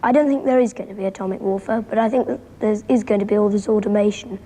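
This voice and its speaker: A British teenage girl answers an interviewer in a measured, hesitant manner. Her voice is mellow and a little husky, captured on a 1960s archival recording. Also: mid-range voice, steady tone. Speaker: young interviewee.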